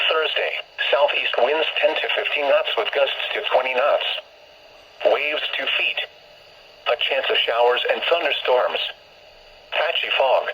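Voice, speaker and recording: A man's voice: medium pitch, husky. An American man's synthesized voice reading scripted weather bulletins formally in clipped phrases, heard over a radio. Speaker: automated weather radio announcer. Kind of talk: scripted weather forecast and observations readout. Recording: muffled lo-fi weather radio speaker.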